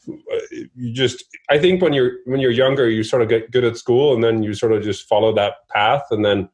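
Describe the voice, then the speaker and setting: A man's voice: lowish voice, a little mellow, slightly rough. A man in his forties from Norway, chatting casually and rambling self-deprecatingly as an interview guest on a video call. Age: 40s. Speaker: interview guest, startup investor.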